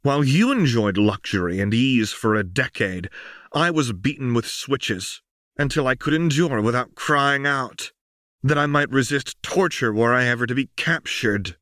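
A man putting on a proud young noblewoman soldier from a read-aloud story, fierce and defiant, her voice lifted well above a man's usual pitch. She speaks in a cold, cutting, indignant way, and the recording is dry and close. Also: lively intonation, normal speed, fairly bright tone, no room sound, close to an everyday voice.

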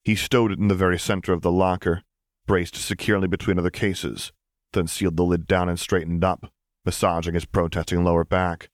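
A man's voice: low-pitched, flat delivery, fairly quick, no room sound, clean studio sound. A man reading a scripted story as an audiobook narrator.

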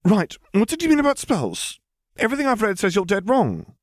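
An overbearing, bookish little schoolgirl, acted by a man reading a story. The voice is lifted far above his own, breathless and emphatic. She is bossy and quick to take offence. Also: high-pitched, huge pitch swings, dry recording, studio quality, voice pushed up, full character voice. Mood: challenging. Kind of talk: audiobook character voice.

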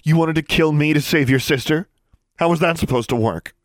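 A man voicing a fierce, grieving teenage girl witch in a storybook reading, with a high strained voice. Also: brisk, dry recording, clean studio sound, natural voice. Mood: furious. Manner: high strained voice, shouting to whispering.

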